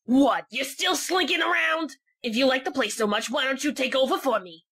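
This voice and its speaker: A woman voicing a cranky, indignant old woman security guard with a raspy, scolding delivery in an amateur game fan dub. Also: sits high, moderate pace, a bit bright, heavily acted.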